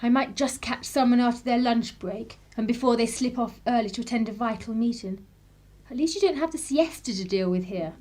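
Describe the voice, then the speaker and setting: An actress performs a well-mannered English lady in a radio play. She is chatty and quietly probing, with an English accent. Her voice sits fairly high and her delivery is genteel. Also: fairly quick, lightly acted. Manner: genteel, polite. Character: polite, chatty, inquisitive English woman visitor with secrets. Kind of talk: radio drama acting.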